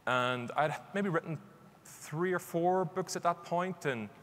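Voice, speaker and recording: A man's voice: fairly high pitch, unhurried, a bit bright. A middle-aged man with a Northern Irish accent gives an informal conference talk in a conversational, self-deprecating way. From Northern Ireland. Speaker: conference speaker, author. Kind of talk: informal live presentation. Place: large conference stage indoors. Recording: reverberant hall with background noise.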